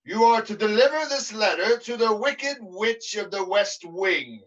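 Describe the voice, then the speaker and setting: Man doing a grandiose commanding male Oz, high-pitched, slow and emphatic, bright tone, distant lo-fi sound. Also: lively intonation. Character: booming theatrical male Oz, the hospital Wizard. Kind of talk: livestream script reading.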